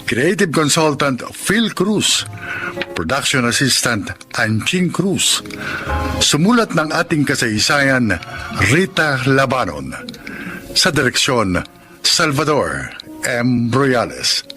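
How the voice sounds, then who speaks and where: A man with a Filipino accent reading scripted narration as a radio drama host, in a solemn, measured announcer delivery. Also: medium pitch, sing-song, music in the background.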